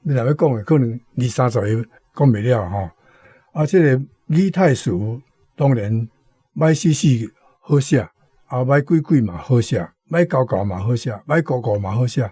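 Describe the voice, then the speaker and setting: A man with a Taiwanese accent and a husky voice, giving explanatory commentary as a guest. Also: mid-range voice, expressive, moderate pace, mellow, dry recording.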